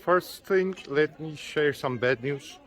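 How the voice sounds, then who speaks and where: A man's voice: on the high side, slow, some chatter behind. A man with a Turkish accent, a native Turkish speaker, chatting casually as a travel vlogger outdoors, recorded on a built-in camera mic. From Turkey. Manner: chatty, with fillers, loose phrasing. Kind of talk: casual travel vlog narration. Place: outdoors at an open-air museum.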